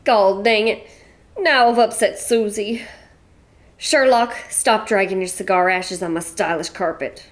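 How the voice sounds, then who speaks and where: A woman's voice: mid-range voice, measured pace, lightly acted. A woman playing a brash widow. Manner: brash, slangy and blunt. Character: brash gun-toting American widow who owns a chateau. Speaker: Mrs. Gibson. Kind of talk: audio drama acting.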